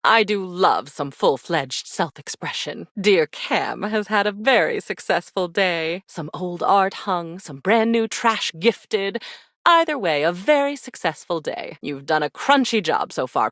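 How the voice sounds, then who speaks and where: A woman's voice: fairly low pitch, animated, unhurried, a bit bright, no echo, studio quality. A woman voicing a mysterious, devious living memory in a video game, theatrical and flirtatious, with a darkly teasing, sly manner. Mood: wry, pleased. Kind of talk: video game character acting.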